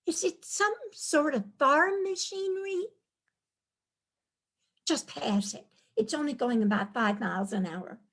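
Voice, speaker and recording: A woman playing an easily appalled city wife on a country trip in a comic play reading, expressive and reproachful. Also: high voice, measured pace. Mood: impatient.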